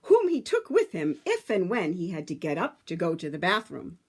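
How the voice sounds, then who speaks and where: A woman reading a picture book aloud to children, with dramatic, emphatic phrasing and drawn-out words. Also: on the low side, wildly swooping pitch, slightly rough.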